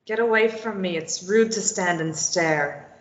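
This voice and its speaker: A woman reading the part of a defiant, sharp-tongued young woman held captive, snapping back and pitching up when pressed. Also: unhurried, a little hiss or hum, lightly acted. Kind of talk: amateur table read. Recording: roomy, muffled lo-fi recording.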